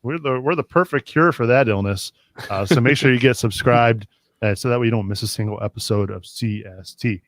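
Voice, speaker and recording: A man's voice: mid-range voice, a little fast, dry recording. A man hosting a soccer podcast, chatting casually and thanking guests as he wraps up. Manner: relaxed, wraps up segments with thanks. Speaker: podcast host. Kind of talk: casual chat.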